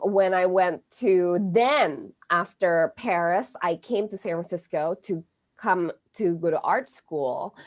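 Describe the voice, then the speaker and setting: A woman whose first language is Indonesian, talking as an astrologer guest in an interview, halting, with many fillers and restarts. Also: fairly low pitch. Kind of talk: conversational interview.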